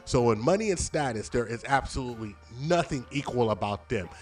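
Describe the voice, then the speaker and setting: A man's voice: mid-range voice, expressive, a little fast. A man giving opinion commentary in a played video, lecturing emphatically and hammering home each point. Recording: music bed under the voice.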